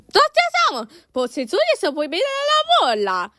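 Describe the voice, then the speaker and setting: Super high bright woman voice, acting out cartoon characters for a fan dub, really exclamatory. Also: huge pitch swings, takes it slowly, no room sound, clean studio sound. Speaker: amateur fan voice actor.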